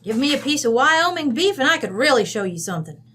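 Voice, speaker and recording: A woman's voice: sits high, big pitch swings, fast, pushed and bright, a little hiss or hum, full character voice. A woman playing a bossy, blunt, folksy mother from Wyoming in an old-time radio drama. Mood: matter-of-fact. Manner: brassy, folksy, chatty.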